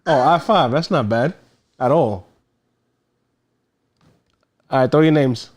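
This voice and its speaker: A man's voice: mid-range voice, slow. A man co-hosting in casual chat, joking and swearing in a laid-back way. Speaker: co-host.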